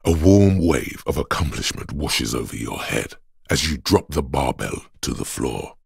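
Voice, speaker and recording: Macho coach-like inner voice, video game narration, heavily rasping, deliberate, very dry close sound. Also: male voice, very animated, dry recording, exaggerated voice. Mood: grave, intense. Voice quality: husky, gravelly bass. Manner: slow, deliberate, weighty delivery. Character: gruff macho inner voice of the body, coach-like and goading. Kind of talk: second-person game narration, scripted.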